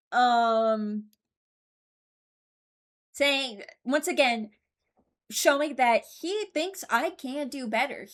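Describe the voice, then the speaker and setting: A woman hosting a ranking video, giving casual, opinionated commentary as she muses aloud. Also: on the high side. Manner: informal, musing aloud. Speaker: video host ranking voicelines.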